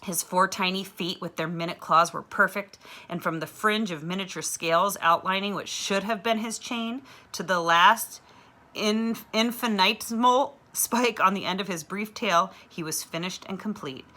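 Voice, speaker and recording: A woman reading a children's novel aloud with clear, expressive storytelling. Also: medium pitch, normal speed.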